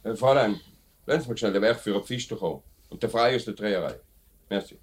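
An actor playing a courteous, firm factory director with a Swiss German accent in a 1950s radio play. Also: male voice, on the low side, quick pace, slightly rough. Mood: attentive. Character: measured, authoritative male factory director, fair-minded boss.

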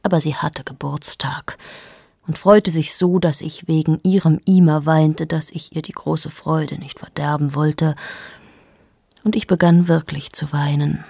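A restless journalist in her late thirties tells her story in a husky, breathy voice that sits low. She is intimate and confessional and often sinks to a whisper. The sound is dry and close, with no room around it. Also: steady tone, unhurried, a little mellow, dry recording, full character voice. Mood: brooding. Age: 30s. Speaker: narrator of a radio play monologue. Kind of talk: radio drama monologue.

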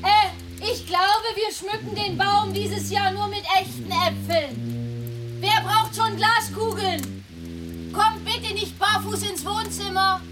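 A woman playing a distracted, gentle middle-aged mother in a children's radio play. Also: very high voice, slow, over music. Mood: distracted, airy.

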